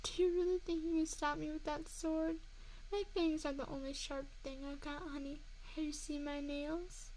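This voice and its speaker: A woman's voice: high-pitched, darkish tone, rough recording. A woman voicing a seductive, menacing female vampire in an intimate ASMR roleplay, mocking and taunting a single listener up close. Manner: teasing, taunting, intimate address to one listener. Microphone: close binaural 3Dio microphone. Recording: faint background noise, close binaural mic.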